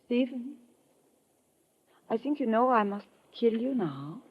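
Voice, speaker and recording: High-voiced, seductive radio villainess. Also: female voice, animated, slow pace, breathy edge, full character voice. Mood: tender, deadly regret. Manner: theatrical, formal, menacing endearments. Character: cold, seductive female villain, sabotage ring boss with a gun. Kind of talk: 1950s radio drama acting. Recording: dull lo-fi old radio recording.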